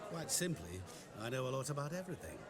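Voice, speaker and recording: A sly, all-knowing stranger , voiced by a man, holds court in a game cutscene. His smooth, mocking delivery rolls up and down in pitch. A murmuring crowd sits behind him. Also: very animated, fast, lightly acted. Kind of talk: video game cutscene acting.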